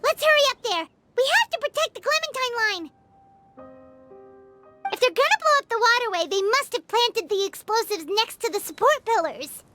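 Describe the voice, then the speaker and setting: A woman voicing a tiny, excitable, childlike fairy companion in an anime video game, squeaky and fretful. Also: normal speed, soft background music, full character voice. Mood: urgent. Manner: exclaiming, refers to self in third person.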